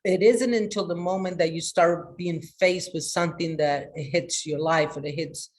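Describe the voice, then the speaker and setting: A woman life coach giving a live motivational talk to her online group. She talks energetically and emphatically, with many restarts, and moves between loud greetings and a soft, confiding tone. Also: on the low side, steady tone, moderate pace.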